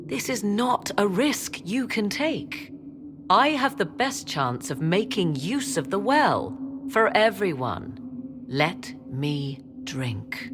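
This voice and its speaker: A woman voice actor playing a proud, persuasive adviser in a fantasy video game, speaking in a formal, measured, imperious manner. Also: mid-range voice, very animated, background noise. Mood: urgent, persuasive. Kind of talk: video game dramatic voice acting.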